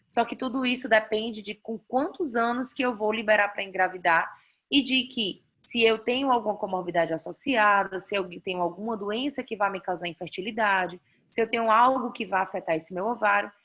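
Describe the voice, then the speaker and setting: A woman's voice: mid-range voice, a bit bright. A woman doctor with a Brazilian Portuguese accent giving an online class, explaining slides step by step and often checking in with "né?". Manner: explanatory, frequent "né?" tags and restarts. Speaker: doctor teaching a fertility class. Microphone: video call.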